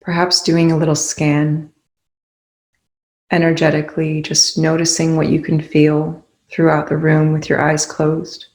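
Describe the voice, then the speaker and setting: A calm, soothing voice leading a guided meditation in gently spaced phrases. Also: medium pitch, measured pace. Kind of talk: guided meditation, soft instruction. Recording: roomy, echoing indoor sound.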